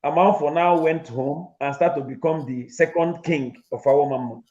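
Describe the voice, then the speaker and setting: A man's voice: fairly high pitch, moderate pace, darkish tone. A man with a Nigerian accent, interviewed as a community leader and telling local history, mixing Igbo and English. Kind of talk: casual storytelling interview. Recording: dull lo-fi recording.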